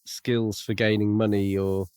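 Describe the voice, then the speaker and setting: A man's voice: lowish voice, little pitch movement, measured pace, a little mellow, smooth, dry recording. A man co-hosting a podcast, talking casually about books with thoughtful, tentative phrasing. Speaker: podcast co-host. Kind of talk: casual book discussion.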